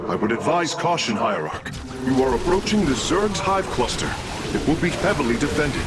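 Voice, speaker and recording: A man's voice: mid-range voice, sing-song, very noisy, close to an everyday voice. An actor playing an earnest, dutiful male engineer who speaks formally and respectfully in a video game. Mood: urgent. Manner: formal, measured, respectful reporting. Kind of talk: video game dialogue and narration. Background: game music.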